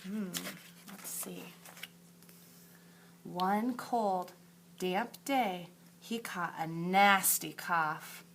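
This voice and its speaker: A woman teacher reading a picture book aloud to young children in a deliberate, emphatic storytelling way, with asides to her pupils. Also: lowish voice, a bit bright, a little hiss or hum. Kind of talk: expressive picture-book read-aloud for second graders.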